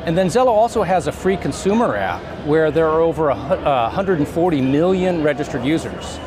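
A man in his fifties explaining things conversationally as an interview guest, in a measured, halting way with pauses. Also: fairly high pitch, sing-song. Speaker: company CEO, interview guest. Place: trade show booth set, indoors. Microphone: lapel mic. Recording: clear background noise of busy trade show.

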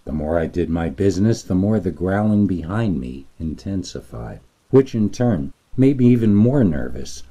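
A man reading scripted scary stories as a host, in a theatrical, deliberate storytelling manner. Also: deep voice, lively intonation, mellow, breathy edge. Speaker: horror story narrator and channel host.

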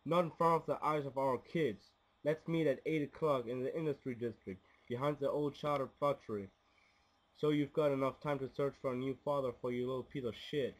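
A man's voice: medium pitch, fairly even intonation, fairly quick, close to an everyday voice, rough recording. A man playing a proud, hot-headed gangster father in a cocky manner in an amateur radio play. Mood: cocky, threatening.

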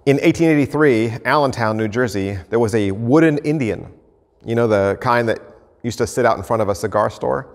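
A man in his fifties, an American pastor, preaching an earnest, measured sermon to camera from an empty church sanctuary. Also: mid-range voice. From United States. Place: empty church sanctuary, indoors, mid-sized. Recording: reverberant church hall.

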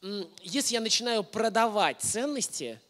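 Male presenter, thirties, voice a little high; informal talk to a room, question-led delivery. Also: sing-song, moderate pace, a bit bright. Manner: informal, engaging listeners with questions. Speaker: lecturer giving a masterclass. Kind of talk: conversational lecture to an audience. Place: indoor hall, on stage before a projection screen.

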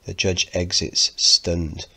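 A man's voice: deep voice, flat delivery, slow pace, vocal fry, bright, forceful tone. A man teaching, narrating a play and explaining it in an instructive way.

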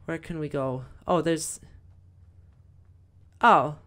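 A young woman with a slightly croaky voice, chatting casually through gameplay commentary with lots of fillers. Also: sits low, slow pace, fairly bright tone. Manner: chatty, rambling, many fillers. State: croaky, voice recovering. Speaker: gaming video host.

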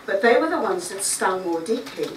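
A middle-aged woman from Guyana with a Guyanese accent, reading a prepared talk in a measured, reflective way with a few chatty asides. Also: mid-range voice, big pitch swings, a little hiss or hum. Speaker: writer giving a talk. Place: small dark stage before a seated audience. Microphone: stand mic, filmed by a far camera. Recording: roomy, distant camera recording.

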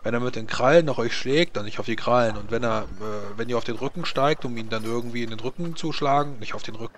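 A man's voice: medium pitch, fast, close to an everyday voice. A man playing a pious, stoic dwarf warrior in a tabletop fantasy game, giving rambling, folksy advice. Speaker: player in a tabletop roleplaying game. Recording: noisy recording with background ambience.

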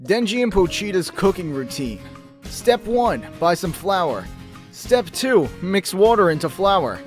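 Guy doing a scrappy dumb teen boy, blunt, really swingy pitch, comic dub. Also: unhurried, soft background music. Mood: blunt, eager. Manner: blunt, excitable, crude. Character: scrappy, simple-minded, hungry teenage boy.

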